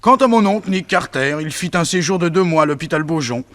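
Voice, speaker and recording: A man's voice: on the high side, expressive, fairly quick, fairly bright tone. A man playing a detective's nephew, narrating a 1960s French radio serial from a script. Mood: storytelling. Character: male detective's nephew narrating his uncle's exploits.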